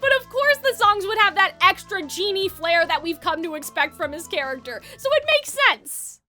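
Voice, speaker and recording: Very high, bright-voiced woman sharing commentary. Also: animated, moderate pace. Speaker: video essay host. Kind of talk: opinionated video essay commentary. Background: background music.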